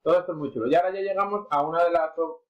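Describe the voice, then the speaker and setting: A man's voice: on the high side, rapid-fire, soft background music. Chatty man teaching art history. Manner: chatty and informal, with colloquial asides. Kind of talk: casual art history lesson. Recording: dull lo-fi recording.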